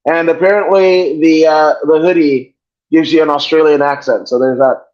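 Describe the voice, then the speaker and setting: A man's voice: a little high, fairly even intonation, moderate pace, a little mellow. A man hosting a podcast in casual chat, profane and rambling, joking as he goes. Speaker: podcast host. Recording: dull lo-fi sound.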